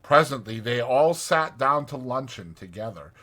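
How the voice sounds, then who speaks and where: A man's voice: medium pitch, expressive, moderate pace, bright, forceful tone. A man narrating a classic storybook as an audiobook reader.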